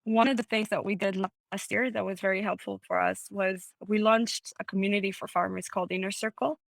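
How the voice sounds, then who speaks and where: A woman's voice: mid-range voice, fairly even intonation, brisk, vocal fry, no echo. Articulate woman on a dry video call. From Israel. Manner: articulate, explanatory. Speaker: startup CEO guest. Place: home room, indoors.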